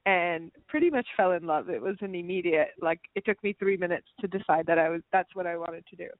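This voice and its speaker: A woman in her thirties, a naturopathic doctor, telling her story as a guest in a relaxed, conversational way. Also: fairly low pitch, fairly quick, dry recording. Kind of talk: radio interview. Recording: dry phone-like audio.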